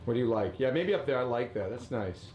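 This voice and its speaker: A man's voice: mid-range voice, expressive, quick pace, a little mellow, slight background noise. A man leading a casual lesson, asking prompting questions and tagging lines with "right?". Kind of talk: casual instructive talk. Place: basement room. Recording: echoey basement room, faint ambience.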